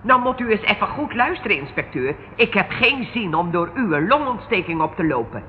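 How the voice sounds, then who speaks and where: With long reverb on a dull old radio recording, an actress plays an impatient sailor's wife. She is cross and sharp-tongued, her female voice swooping emphatically as she scolds. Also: medium pitch, big pitch swings, brisk, a little hiss or hum, close to an everyday voice. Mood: cross, scolding. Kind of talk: radio drama acting.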